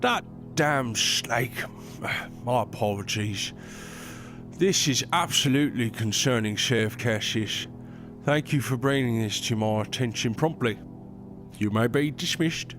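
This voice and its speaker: A commanding royal advisor from an audio drama, voiced by a man. He speaks formally and with clipped authority, quick to put others in their place. A clear music bed plays under him. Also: medium pitch, lightly acted. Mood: stern, authoritative. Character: stern male royal advisor, proud and commanding.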